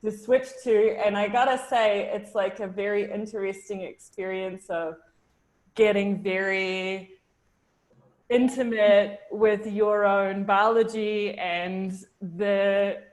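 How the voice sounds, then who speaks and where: A woman's voice: medium pitch, fairly even intonation, slow. A young woman from New Zealand speaking over a video call in a casual discussion. She talks thoughtfully, circling back and restarting her sentences as she works through ideas, with a mid-range voice. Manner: thoughtful, hesitant, many restarts and fillers. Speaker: discussion participant.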